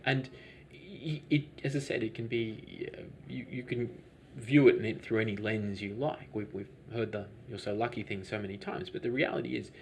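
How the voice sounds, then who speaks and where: Man, business owner, interview answers: low voice, halting and rambling delivery, faint background hum. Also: gravelly. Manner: rambling, hesitant with many restarts and fillers. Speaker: lavender farm business owner.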